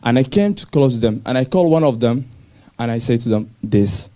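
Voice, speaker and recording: A young man from Somalia telling his personal story to an audience in simple, halting English with restarts. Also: medium pitch, lively intonation, warm tone. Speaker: speaker sharing his refugee story. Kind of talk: personal story talk on stage.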